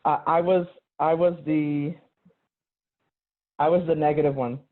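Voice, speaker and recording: A woman on a video call speaking eagerly but haltingly. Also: deep voice, steady tone. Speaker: workshop participant. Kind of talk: casual remarks on a video call. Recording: tinny phone-like call audio.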